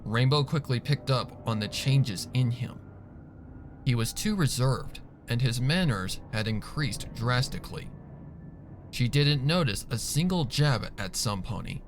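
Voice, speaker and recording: A man narrates fan fiction in a dramatic, scripted style. His medium-pitched voice moves expressively up and down. Music plays softly behind him. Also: expressive, a little slow. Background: background music.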